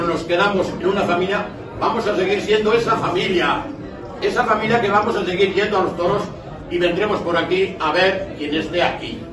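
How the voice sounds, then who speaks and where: An older man from Spain speaks informally and from the heart, moved and a little choked up. His voice is rather high, heard from across a roomy restaurant with a loud crowd around him. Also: far from the mic. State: emotional, choked up. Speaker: speaker giving a tribute at a farewell dinner. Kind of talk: informal heartfelt tribute speech. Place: busy restaurant dining room, indoors. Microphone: distant camera across the room. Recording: echoey room from afar, loud crowd.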